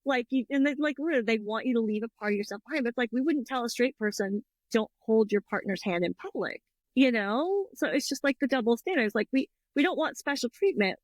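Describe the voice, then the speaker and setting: A woman's voice: on the high side, expressive, quick pace, no echo. A woman co-hosting a casual chat, talking with frequent fillers and agreeable backchannels. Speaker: podcast co-host.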